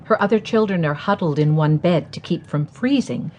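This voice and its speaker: A middle-aged actress playing a kind, steady mother in a radio drama, gentle, composed and guiding. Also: fairly low pitch, moderate pace, muffled recording, a little hiss or hum, close to an everyday voice. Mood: earnest.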